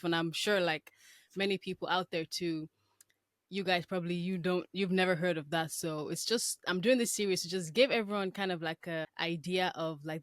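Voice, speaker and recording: A woman's voice: lowish voice, fairly bright tone, no echo, studio quality. A woman in her twenties hosting a podcast in a casual, informal way. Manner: informal, frequent "like". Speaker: podcast host.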